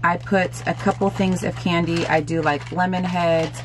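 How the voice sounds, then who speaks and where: A woman in her thirties, slightly hoarse, chatting upbeat to viewers as she shows things to the camera in a small bedroom. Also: lowish voice, fairly even intonation, moderate pace, noisy background. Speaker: lifestyle vlogger. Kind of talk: casual product show-and-tell.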